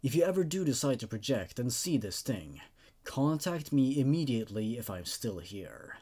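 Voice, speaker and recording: A man's voice: medium pitch, very animated, moderate pace, dark tone, husky. Man reading as a haunted, fearful horror story narrator. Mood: grave, warning. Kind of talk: first-person creepypasta narration.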